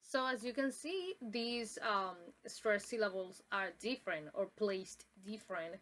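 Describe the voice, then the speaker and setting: Halting young woman presenting a lesson. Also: medium pitch, fairly bright tone, low-quality recording. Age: young adult. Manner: halting, with many ums and uhs and restarts. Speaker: student presenter. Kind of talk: classroom presentation on pronunciation.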